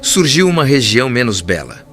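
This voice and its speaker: A man's voice: medium pitch, sing-song, normal speed. Solemn male narrator over music. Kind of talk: solemn literary audiobook narration. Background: clear background music.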